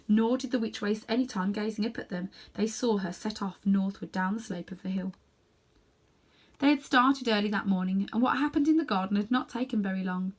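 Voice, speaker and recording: A woman narrating a children's novel as an expressive read-aloud storyteller. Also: medium pitch. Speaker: storybook reader.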